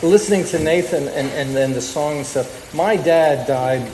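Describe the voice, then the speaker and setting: A man's voice: a little high, normal speed, a little mellow. Man hosting in an echoey hall. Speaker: radio show host. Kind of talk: unscripted stage talk to a live audience. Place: stage of a live radio show. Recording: echoey hall reverb, noticeable background noise.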